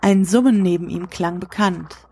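A woman with a husky voice reads scripted literary narration for an audio drama. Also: lowish voice, normal speed, mellow.

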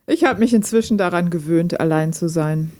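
A woman's voice: on the low side, normal speed, a little mellow, close to an everyday voice. A woman playing a lonely, reserved hobby programmer in an audio drama, speaking in a dry, direct way. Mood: resigned, candid. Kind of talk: amateur audio drama dialogue, read chat.

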